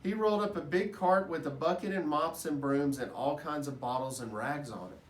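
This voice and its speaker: A man giving an expressive reading of a children's story. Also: fairly high pitch, moderate pace. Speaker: storybook reader at an elementary school. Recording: roomy echo, distant microphone.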